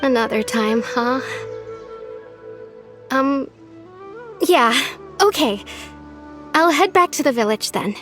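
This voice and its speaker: A woman's voice: high voice, animated, slow pace, long pauses, fairly bright tone, full character voice. An actress playing a shy, sweet young village woman in a video game, with a hesitant, subdued way of talking. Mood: disappointed, hesitant. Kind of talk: anime-style video game voice acting. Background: game music.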